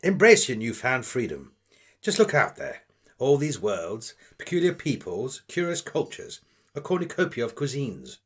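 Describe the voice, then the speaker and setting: A man playing a pompous, fussy British gentleman adventurer in an audio drama, florid and old-fashioned in manner. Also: mid-range voice, big pitch swings, moderate pace, husky. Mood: grandly upbeat.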